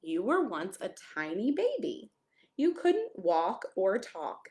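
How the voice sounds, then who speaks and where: Woman, storytime pastor, highly animated pitch, expressive read-aloud, chatty asides and questions for little kids. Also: slow pace. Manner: expressive, addresses young children with questions and exclamations. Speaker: pastor reading a children's story. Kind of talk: children's picture-book read-aloud with friendly asides.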